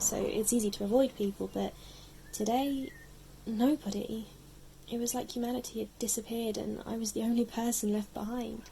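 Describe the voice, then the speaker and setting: Wistful woman played by an actress, calm diary reflection, soft dark tone, faint noise. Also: fairly high pitch, gravelly, poor audio. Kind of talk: acted reflective diary monologue.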